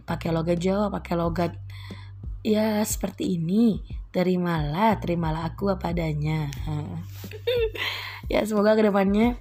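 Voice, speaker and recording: A woman's voice: lowish voice, moderate pace, pauses often, dark tone. A woman from Java, a housewife hosting her own casual podcast, rambling and giggling at herself. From East Java, Indonesia. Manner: giggly, rambling, self-deprecating. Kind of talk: casual solo podcast monologue.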